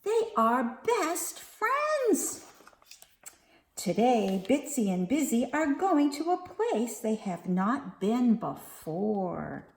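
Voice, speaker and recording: A woman teaching young children, reading a picture book aloud at home in a slow, gentle, sing-song way. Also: fairly high pitch, huge pitch swings, reverberant.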